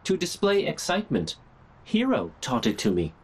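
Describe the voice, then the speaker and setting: Gentle game robot, innocent, polite, literal, husky edge, fairly high for a male. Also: wildly swooping pitch, normal speed, heavily acted. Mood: earnest, gentle.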